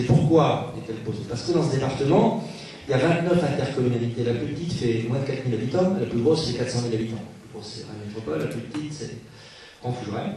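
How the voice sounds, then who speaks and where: A man speaking as a local elected official at a public meeting, posing rhetorical questions and pausing hesitantly. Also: mid-range voice, warm tone. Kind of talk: political speech at a public meeting. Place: large reverberant meeting room. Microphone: distant microphone. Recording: echoey hall, distant mic, faint noise.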